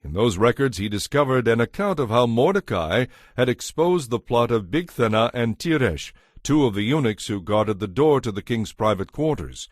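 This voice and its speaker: A man narrating a dramatized Bible in a measured, scripted storytelling style. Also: medium pitch, very animated, dry recording, studio quality.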